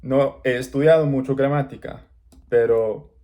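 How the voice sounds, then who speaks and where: Slow, mellow-voiced man learning the language. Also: mid-range voice, steady tone, muffled recording. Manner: halting learner's speech with pauses and restarts. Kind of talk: casual talk to camera.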